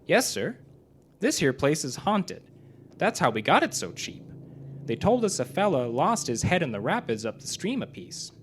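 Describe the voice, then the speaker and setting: A man's voice: on the high side, big pitch swings, moderate pace, fairly bright tone, pitched above the performer's own voice. A man voicing an earnest, pleading young country girl with folksy rural phrasing, in a live story reading. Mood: earnest, uneasy. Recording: noisy live club recording.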